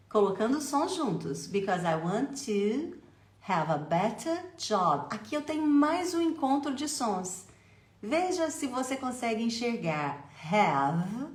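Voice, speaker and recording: A Brazilian woman with a Brazilian accent and a medium-pitched voice, running a lively English pronunciation lesson. She slows down to drill short phrases for the listener to repeat. The sound is a bit roomy and echoey. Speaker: English teacher.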